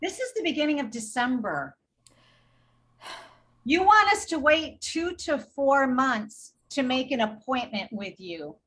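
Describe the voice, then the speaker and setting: A woman guest on a podcast interview, telling an animated story in quick bursts of detail and acting out what others said. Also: high voice. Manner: animated, re-enacts dialogue while telling a story. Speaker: healthcare advocate guest.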